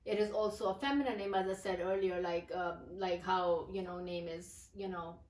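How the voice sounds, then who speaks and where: A middle-aged woman from Pakistan, her Urdu, who talks as an interview guest with many pauses and fillers. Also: lowish voice, steady tone, darkish tone, roomy sound, recorded from a distance. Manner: hesitant, many fillers and restarts. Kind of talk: casual interview.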